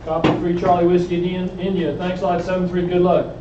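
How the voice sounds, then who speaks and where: A man's voice: high-pitched, little pitch movement, quick pace, background noise. A man casually demonstrating radio exchanges as hands-on practice. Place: indoor club meeting room. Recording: echoey room, distant dull mic, chatter around.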